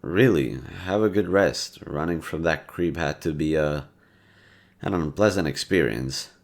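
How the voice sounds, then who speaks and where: A man's voice: very deep voice, unhurried. A man playing a kind, teasing, easily flustered young guy in a roleplay audio, talking casually and playfully straight to the listener. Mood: caring, gentle. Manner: playful, casual and slangy, with muttered asides.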